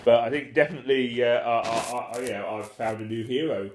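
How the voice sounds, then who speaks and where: A man's voice: mid-range voice, fairly even intonation, fairly quick, over a noisy crowd. A man chatting casually and comically, with a jokey, self-deprecating and slightly rambling, hesitant manner.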